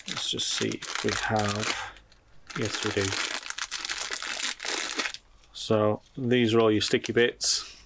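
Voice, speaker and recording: A man's voice: medium pitch, gravelly, a little hiss or hum. A man giving casual unboxing commentary as a product reviewer, informally thinking aloud as he goes.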